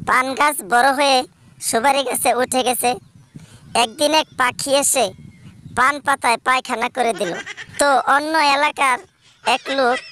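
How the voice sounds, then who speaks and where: A woman's voice: high voice, fairly bright tone, a little hiss or hum. A woman voicing a cheeky schoolchild in a comedy cartoon, answering with matter-of-fact confidence.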